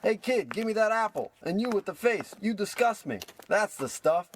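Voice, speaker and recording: Hapless wisecracking crook played by a man, highish voice. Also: animated, moderate pace, slight background noise. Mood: gleefully mean. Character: hapless small-time crook, self-pitying tough guy. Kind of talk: parody of old radio drama acting.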